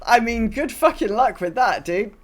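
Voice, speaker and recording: A woman's voice: on the high side, lively intonation, moderate pace. Informal, rambling woman podcaster. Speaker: podcast co-host. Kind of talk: casual chat about gaming week.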